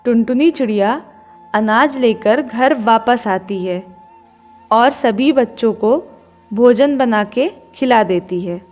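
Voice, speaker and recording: A woman's voice: a little high, slow pace, darkish tone, soft background music. A woman narrating a scripted children's story as its storyteller.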